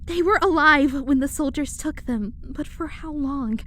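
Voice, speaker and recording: A woman's voice: high-pitched, a little slow, a bit bright, a little hiss or hum. A woman playing an earnest young alien princess, formal and gracious, in a comic-book audio drama. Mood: worried.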